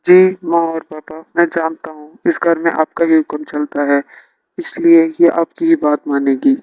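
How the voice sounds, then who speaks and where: A man's voice: on the high side, level tone, measured pace, darkish tone, dry recording, close to an everyday voice. A man voicing a dutiful, respectful adult son in a family cartoon, earnest and deferential. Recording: dull lo-fi audio.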